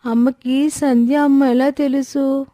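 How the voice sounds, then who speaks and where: A woman's voice: high-pitched, little pitch movement, measured pace, warm tone, dry recording, clean studio sound. A woman voicing an innocent, trusting, obedient little girl with a simple questioning manner in a story. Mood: innocent, curious.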